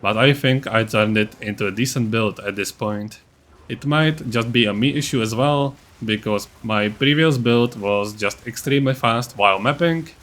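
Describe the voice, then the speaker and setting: A man's voice: mid-range voice, a bit bright. A man with a mid-range voice narrates a gaming guide. His delivery is informal and matter-of-fact, explaining things like a creator talking to his viewers. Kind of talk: casual explanatory build-guide voice-over.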